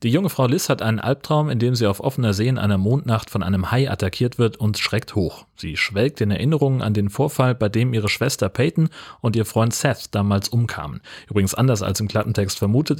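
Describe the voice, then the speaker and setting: Raspy-voiced man co-hosting a podcast. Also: lowish voice, brisk. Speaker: podcast co-host. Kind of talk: casual film podcast chat.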